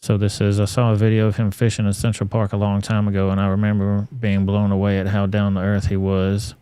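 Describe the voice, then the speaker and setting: A low-voiced man hosts an online talk show and gives blunt, offhand commentary laced with swearing. His delivery is flat and mellow, and the voice sounds smooth and dry on a close studio mic. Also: fairly quick, dry recording. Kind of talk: commentary on clips.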